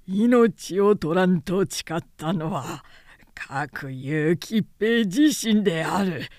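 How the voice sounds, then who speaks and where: A fierce, righteous old physician, as a woman narrator plays him in a storybook. He declaims in archaic speech, dragging out his words with defiance and swinging widely in pitch. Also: darkish tone, no echo, clean studio sound.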